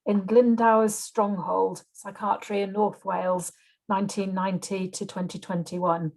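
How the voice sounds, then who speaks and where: A woman's voice: mid-range voice, flat delivery, measured pace, darkish tone, poor audio. A woman chairing an online event, introducing a speaker informally, partly from prepared notes. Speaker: webinar chair. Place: video call.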